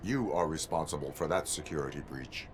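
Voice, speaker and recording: An actor playing a cyborg police officer who speaks in a flat, clipped, procedural way. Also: male voice, a bass voice, a little fast, a little hiss or hum. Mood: stern.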